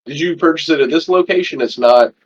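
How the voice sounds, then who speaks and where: A man voicing a smooth, flattering male cashier handling a refund. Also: a little high, brisk, highs cut off, a little hiss or hum, close to an everyday voice. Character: flirty male store cashier.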